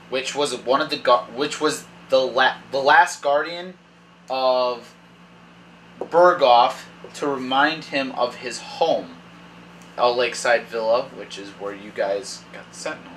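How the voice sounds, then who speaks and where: A young man narrating as dungeon master at a kitchen table, reading room descriptions haltingly and thinking aloud. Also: mid-range voice, a bit bright, a little hiss or hum, poor audio. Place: dim home kitchen at a table. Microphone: far camera.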